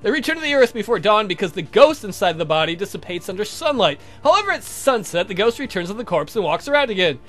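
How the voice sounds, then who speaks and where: Visual novel vampire, played by a man: haughty, formal, condescending lecturer, high register, very animated delivery. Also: fast, hardly any pauses, soft background music. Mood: lecturing.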